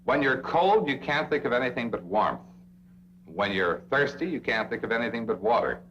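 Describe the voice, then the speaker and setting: A man's voice: mid-range voice, sing-song, normal speed, fairly bright tone. An American man narrating a 1960s instructional film, speaking straight to the listener with emphatic phrasing and rhetorical questions. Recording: dull, noisy old film soundtrack with hum.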